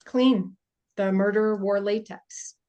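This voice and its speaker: A woman's voice: mid-range voice, fairly even intonation, slow pace, warm tone, lo-fi sound, close to an everyday voice. A woman playing a middle-aged forensic investigator at a film table read, matter-of-fact and wry, with a hesitant conversational delivery. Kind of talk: actor's table read of an indie horror film.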